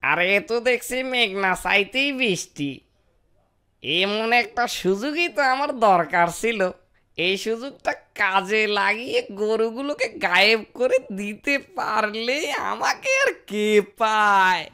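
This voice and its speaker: A woman's voice: medium pitch, sing-song, unhurried, sharp tone, smooth. A woman voicing a sly village thief in a cartoon, falsely humble and exaggerated in manner. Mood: scheming. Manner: exaggerated, muttering schemes and fawning pleas. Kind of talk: cartoon character acting.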